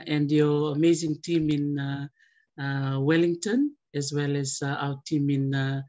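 A woman speaks as a guest panelist. She is gracious and deliberate in a formal greeting, heard through slightly dull video-call audio. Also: low-pitched, darkish tone. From Fiji. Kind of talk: formal self-introduction and greeting. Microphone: computer mic on video call.

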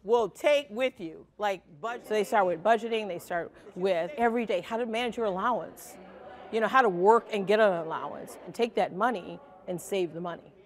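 A woman's voice: mid-range voice, moderate pace. A middle-aged American woman speaking in an interview and teaching, as a camp director does. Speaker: youth finance camp director.

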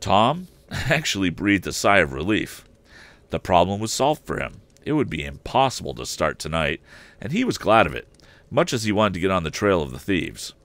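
A man's voice: sits low, big pitch swings, moderate pace, fairly bright tone, no echo. An older man narrating a storybook aloud as a livestream storyteller, close to the mic.

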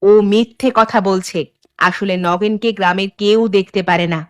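A woman's voice: medium pitch, steady tone, normal speed, no echo, lightly acted. A woman playing the sensible, reproachful wife of a village headman in a cartoon, firm and admonishing. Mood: reproachful, persuading. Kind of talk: Bengali cartoon voice acting.

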